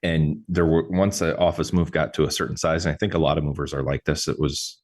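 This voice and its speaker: Guy with a super low voice, chatting casual, lots of uh and restarts. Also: level tone, a little mellow. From New York State. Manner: halting, many restarts and fillers. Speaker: podcast host, mover. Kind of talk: casual interview chat.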